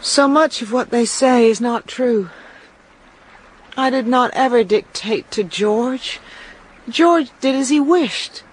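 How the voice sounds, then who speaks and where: An actress playing a disgraced, grieving mother in a radio drama, speaking in a wounded, formal, deliberate way. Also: a little high, slightly rough, poor audio. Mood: wounded, bitter. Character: disgraced, bitter, grieving mother, a fallen woman.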